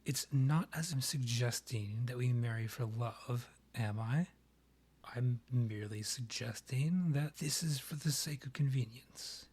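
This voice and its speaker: A proud vampire king played by a man in a romantic fantasy audio roleplay. His mid-pitched voice is soft-edged and breathy. He speaks in formal, measured phrases with an arch, condescending air, as a rival and former lover. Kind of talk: scripted romantic roleplay ASMR audio drama.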